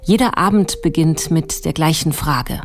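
A woman with a very low voice reads scripted documentary narration. Her delivery is measured and composed.

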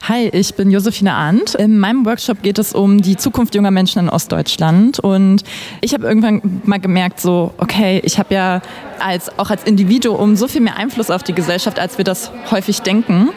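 A woman's voice: medium pitch, normal speed, darkish tone. A woman from East Germany, a workshop leader, earnestly describing her project. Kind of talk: explaining her workshop to camera.

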